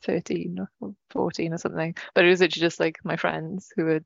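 Guest, woman, gravelly fry, upbeat and giggly, dry lo-fi sound. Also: fairly low pitch, level tone, fast talker, dry recording. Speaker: musician guest. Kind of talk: casual chat.

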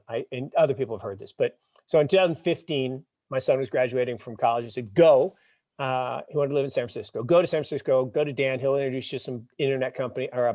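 A man in his fifties, a podcast guest, telling wry stories and explaining ideas with emphatic, animated delivery. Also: mid-range voice, no room sound, clean studio sound. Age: 50s. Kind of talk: casual interview talk.

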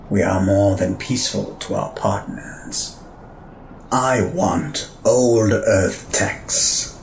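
A low-voiced old alien warlord who speaks slowly and theatrically. He is imperious and quick to irritation, with a dry deadpan edge. The voice rings in a roomy space with faint noise behind it. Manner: grandiose, deliberate, dry deadpan. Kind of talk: sci-fi audio drama acting.

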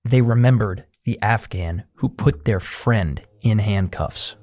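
Male podcast host, lower voice, measured scripted narration. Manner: measured, even documentary narration.

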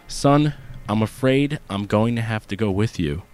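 Middle-aged protective father, commanding, lowish voice, a man voicing him. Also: unhurried, a little mellow, close to an everyday voice. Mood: stern. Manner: firm, commanding.

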